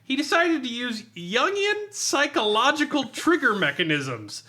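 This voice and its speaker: A man with a high-pitched, bright and forceful voice talks through a game book like he's entertaining friends. He is animated and sardonic, swears freely, and delivers it all with obvious amusement. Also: unhurried. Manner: animated, amused, often laughing while talking; profane, sardonic. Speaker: podcast reviewer of role-playing books. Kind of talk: casual comic review among friends.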